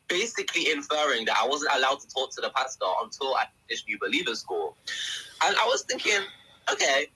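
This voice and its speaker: Young man, podcast guest: husky, very bright voice sitting slightly high, animated storyteller. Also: low-quality recording. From England. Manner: animated storyteller who acts out quotes. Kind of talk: casual podcast testimony.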